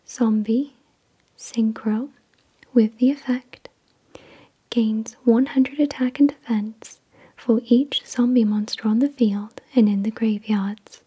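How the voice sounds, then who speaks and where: A woman hosting ASMR, reading out descriptions in a breathy whisper with careful, soothing enunciation. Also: a little high, fairly even intonation, slow, dark tone. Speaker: ASMR video host. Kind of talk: soft-spoken ASMR card unboxing, reading descriptions.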